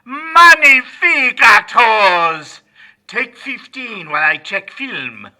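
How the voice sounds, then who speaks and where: An actor playing a fussy, excitable French director with an exaggerated French accent in a comedic audio play. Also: male voice, very high voice, takes it slowly, full character voice. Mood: excited, bossy. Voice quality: theatrical, fussy delivery. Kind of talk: comedic audio theatre acting.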